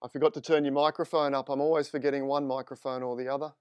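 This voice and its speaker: A man's voice: medium pitch, level tone, quick pace, a little mellow, clean tone, dry recording, studio quality. A man with an Australian accent hosting a live stream interview, chatting informally and upbeat. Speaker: host. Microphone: desk microphone.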